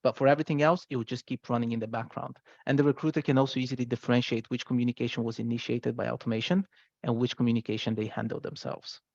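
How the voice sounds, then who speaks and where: Fast-talking man presenting software, slightly muffled. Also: medium pitch, level tone, creaky, dry recording. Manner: explanatory, conversational with check-in tags. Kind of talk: product presentation.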